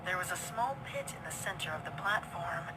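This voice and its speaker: A woman's voice: fairly low pitch, lively intonation, moderate pace, a loud crowd, low-quality recording. A woman reading scripted horror fiction as a dramatic narrator.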